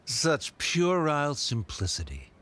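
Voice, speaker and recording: A man's voice: on the high side, wildly swooping pitch, unhurried, a bit bright, slight background noise, full character voice. A man voicing a haughty scholar antagonist in a video game, condescending and formal. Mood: condescending, relieved.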